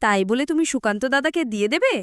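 A woman's voice: high voice, animated, quick pace, clear, resonant tone, no room sound, studio quality. A woman voicing a demanding village wife in a cartoon. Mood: scolding. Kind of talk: cartoon voice acting.

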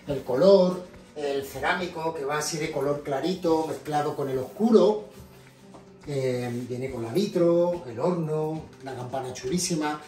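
A man's voice: a little high, darkish tone. Spanish man giving an echoey house tour. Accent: Spanish from Spain. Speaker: vlogger presenting his home. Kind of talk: casual house tour narration. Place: indoor apartment rooms and balcony. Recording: echoey rooms, music underneath. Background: background music.

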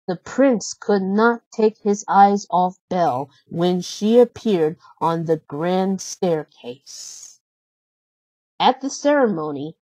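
A woman narrating a children's storybook in an expressive, deliberate read-aloud manner. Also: medium pitch, no echo, highs cut off.

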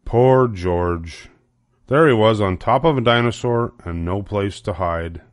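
A man's voice: lowish voice, unhurried, no room sound, clean studio sound. An older man narrating a children's storybook as its reader.

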